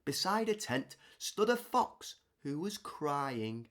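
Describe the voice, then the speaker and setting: Highly animated woman reading a children's story. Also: slow. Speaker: storybook reader. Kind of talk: expressive scripted narration for children.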